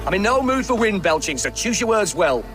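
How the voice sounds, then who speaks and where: Grumbling townsman, lively high voice. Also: a little fast, over music. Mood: grumpy. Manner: grumbling, wry. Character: grumpy, self-satisfied henpecked husband, common townsman. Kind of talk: video game character acting.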